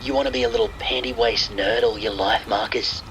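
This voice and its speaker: A man's voice: mid-range voice, wildly swooping pitch, a little fast, gravelly, a bit bright, slight background noise, exaggerated voice, poor audio. A man voicing a cocky, mean teenage boy who taunts and orders his friend around in a spooky story. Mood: taunting. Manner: taunting, bossy. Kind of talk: spooky storytelling in old time radio theme.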